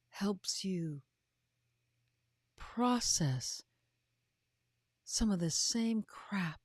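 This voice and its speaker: Slow, emphatic older woman speaking low. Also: slightly rough, no echo, clean studio sound. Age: 50s or older. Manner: emphatic, dramatic delivery with drawn-out words. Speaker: podcast host and coach. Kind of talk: motivational livestream talk to followers.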